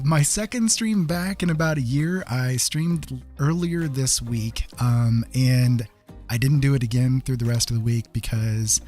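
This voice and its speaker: Casting: male art streamer, medium-pitched, casual and rambling, talking to chat over background music. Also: a little mellow. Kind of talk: casual livestream chat with viewers. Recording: music playing behind the voice.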